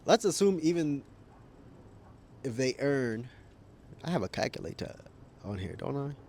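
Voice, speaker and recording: A man's voice: medium pitch, very animated, measured pace, a little mellow, slight background noise. A man making a casual opinion vlog as a YouTuber, rambling, thinking aloud and correcting himself, with frequent chuckles. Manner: chuckles often while talking.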